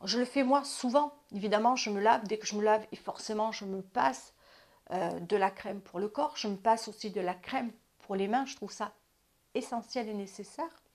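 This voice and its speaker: Woman, fifties, advice channel host, chatty and rambling with frequent restarts, mid-pitched. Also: normal speed, darkish tone. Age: 50s. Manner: chatty, repeats and restarts, drops to asides. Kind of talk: casual spoken advice.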